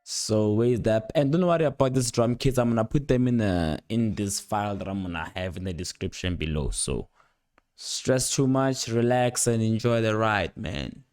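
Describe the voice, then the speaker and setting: A young man giving a casual, unscripted music production tutorial, rambling playfully in slangy speech with excited interjections. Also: fairly low pitch, normal speed, dry recording, studio quality. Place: indoor home studio at a computer. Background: loud instrumental beat and instrument sounds playing.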